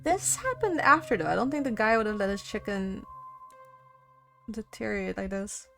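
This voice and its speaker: A woman doing a let's play, reading a visual novel aloud with expressive reactions. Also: mid-range voice, moderate pace, creaky. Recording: music behind.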